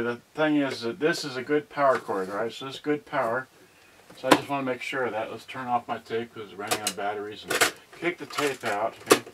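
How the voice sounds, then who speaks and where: A man narrating an unboxing off the cuff in a casual, halting way, thinking aloud in broken fragments. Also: mid-range voice. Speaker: vlogger unboxing and testing a vintage cassette radio. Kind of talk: unscripted casual narration while handling items. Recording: distant mic, loud noise, clicks and handling.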